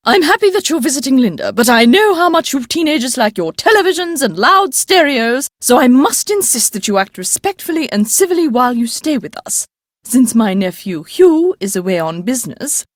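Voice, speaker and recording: Game role: haughty English household matriarch, high and very animated, prim and imperious, close dry recording. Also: female voice, dry recording. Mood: curt, formal. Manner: prim, condescending, formal diction. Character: haughty, strict English aunt running a manor household. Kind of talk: scripted video game character dialogue.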